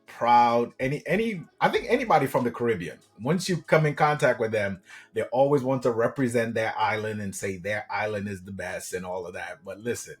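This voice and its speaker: A middle-aged man from Trinidad and Tobago, a guest telling his life story in a friendly, animated way and often saying "man". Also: medium pitch, fairly quick, soft background music. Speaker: guest, government contracting coach. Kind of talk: casual interview storytelling.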